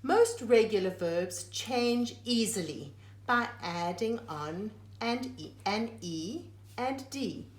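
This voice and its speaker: A woman's voice: mid-range voice, lively intonation, slow. A woman reading a rhyming children's picture book aloud like a teacher, phrase by phrase with dramatic emphasis. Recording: echoey room.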